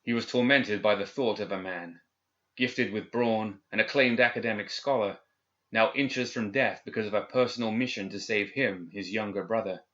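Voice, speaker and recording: A man's voice: lowish voice, moderate pace, fairly bright tone. A man reading a story aloud as scripted audiobook narration. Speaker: audiobook reader. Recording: slightly dull, band-limited recording.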